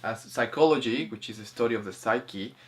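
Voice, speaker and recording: A man talking casually as host of a discussion, haltingly, often repeating words and restarting his sentences. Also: mid-range voice, a bit bright. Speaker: host of a spirituality film discussion. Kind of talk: casual conversational commentary.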